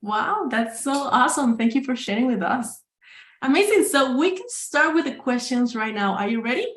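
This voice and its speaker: Brief: enthusiastic female webinar host on a video call, slightly high voice. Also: sing-song, normal speed, fairly bright tone. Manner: upbeat, enthusiastic, with fillers and restarts. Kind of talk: hosting a live online chat.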